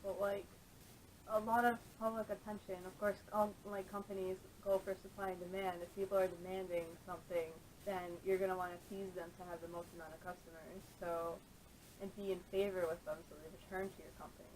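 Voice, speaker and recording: A woman's voice: mid-range voice, level tone, a little fast, a little mellow. Woman debating over noisy camera audio. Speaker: podcast panelist. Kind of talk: casual debate.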